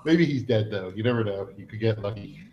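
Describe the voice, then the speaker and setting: A man's voice: on the low side, fairly quick, darkish tone, dull audio, natural voice. A man speaking as a player character, a greedy adventurer woman, with gleeful laughter.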